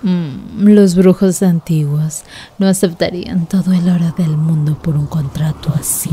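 A woman playing an eerie, seductive female vampire in a fan dub, with a breathy, taunting manner. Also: fairly low pitch, steady tone, measured pace, mellow, slight background noise, natural voice. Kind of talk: fan dub acting, slow lullaby singing.

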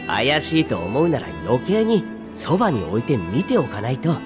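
A man's voice: medium pitch, huge pitch swings, moderate pace, music in the background. A man voicing an easygoing, courteous young robed mage in a video game, breezy and friendly. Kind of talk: Japanese video game voice acting.

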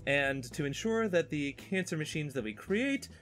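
A man's voice: a little high, expressive, moderate pace, fairly bright tone. A man chatting casually and talkatively on a podcast while he draws, with a joking, exasperated manner. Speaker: podcast host.

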